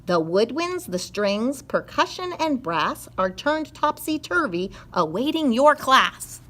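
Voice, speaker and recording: A woman's voice: sits high, big pitch swings, slow pace, fairly bright tone, exaggerated voice. A woman voicing a crafty, gleeful little male leprechaun who taunts in sing-song rhymes for a children's storybook. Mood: mischievous.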